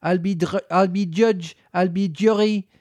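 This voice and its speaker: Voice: mid-range voice, fairly even intonation, a little slow, darkish tone, no room sound, close to an everyday voice. A reader voicing an easily offended mouse in a storybook reading, severe and indignant. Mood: reciting.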